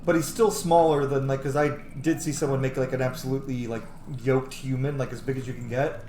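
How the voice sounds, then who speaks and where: A man's voice: mid-range voice, level tone, fairly quick, warm tone. Gamer guy rambling through a let's play, swears a bit, echoey room, noisy background. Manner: rambling, sweary, self-joking. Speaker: video game streamer. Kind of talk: casual let's play commentary.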